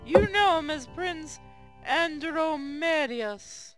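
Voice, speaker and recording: A woman's voice: sits high, lively intonation, takes it slowly, faint music behind, voice pushed up. A woman playing an earnest space navy officer in a visual novel read-aloud.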